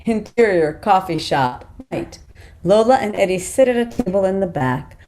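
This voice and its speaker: A woman's voice: mid-range voice, lively intonation, normal speed, darkish tone, a little hiss or hum. A woman reading screenplay stage directions as scripted narration for a table read.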